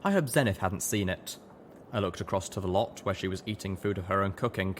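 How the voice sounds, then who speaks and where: A man narrating a first-person story in an expressive audiobook style. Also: deep voice, a little fast, slight background noise. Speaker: audiobook reader.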